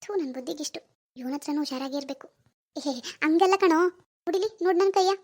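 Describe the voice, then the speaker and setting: Manipulative young wife, woman actor: very high, girlish pitch, sly and theatrical, household drama. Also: fairly even intonation, fairly quick, natural voice. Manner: sly muttering alone, whiny playacting to others. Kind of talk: amateur domestic drama acting.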